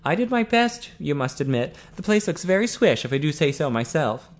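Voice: medium pitch, big pitch swings, normal speed, voice pushed up, lightly acted. A narrator playing a cocky, flamboyant young man who is teasing and pleased with himself, in a story reading. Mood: smug, amused. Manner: snickering, exclamatory.

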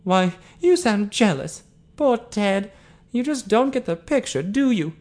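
A young woman from an audiobook novel, as a narrator puts her on. Her voice is soft and pitched well up, with lively swings. She is caring and protective, with a teasing streak. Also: a little slow, natural voice.

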